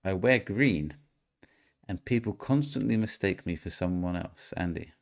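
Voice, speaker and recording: A man with a very low, gravelly voice hosts a relaxed gaming podcast. He runs a guessing game, reads out clues in a steady way and laughs along with his co-hosts. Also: measured pace. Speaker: podcast host. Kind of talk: casual podcast chat, quiz host.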